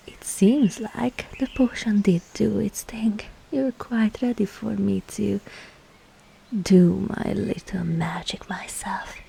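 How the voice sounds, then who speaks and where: Woman playing a whispering, gravelly, scheming seductive witch. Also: medium pitch, warm tone, a little hiss or hum. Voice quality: breathy, mostly whispered. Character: scheming, seductive witch, teasing and falsely reassuring. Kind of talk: whispered ASMR roleplay.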